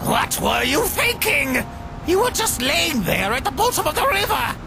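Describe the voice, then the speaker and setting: A woman voicing a loud, anxious, scolding young skeleton brother in a cartoonish comic dub, fretful and exclamatory. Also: sits high, big pitch swings, normal speed, gravelly, over music, exaggerated voice.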